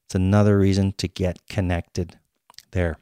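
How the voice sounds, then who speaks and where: A man's voice: deep voice, flat delivery, moderate pace, mellow, clean tone, no room sound, studio quality. A man hosting a podcast, explaining topics in an upbeat, promotional way and introducing his guest. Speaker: podcast host. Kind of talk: podcast monologue and interview.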